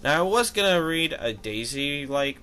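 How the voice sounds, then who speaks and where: A man reading fan fiction aloud as an amateur, halting and correcting himself, with casual commentary. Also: a little high, big pitch swings, bright, forceful tone, smooth. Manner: halting, stumbles over words and corrects himself.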